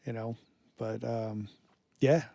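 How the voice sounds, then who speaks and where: A male musician guest talking casually in a radio studio, halting with many fillers and restarts. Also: medium pitch, steady tone, vocal fry, mellow, no echo.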